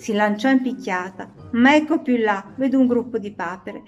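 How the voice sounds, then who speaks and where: A woman reading a children's story aloud in an animated, expressive way. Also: on the high side, normal speed, dull audio, faint music behind. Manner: animated, dramatizing the characters' lines.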